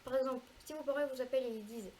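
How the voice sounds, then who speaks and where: A woman with a cold explaining a video game in a chatty, hesitant way, pausing often to fill gaps while she plays. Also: high-pitched, dark tone, a little hiss or hum. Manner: chatty, hesitant, frequent filler pauses. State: has a cold, congested. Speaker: gaming video creator. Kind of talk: casual gameplay tutorial commentary.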